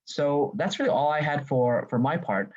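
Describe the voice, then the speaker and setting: A man's voice: on the high side, normal speed, darkish tone. A man giving a casual business presentation on a video call, dotting his talk with "you know" and "right?". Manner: filler-heavy, many "you know" and "right?". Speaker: webinar presenter. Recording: dull lo-fi call audio.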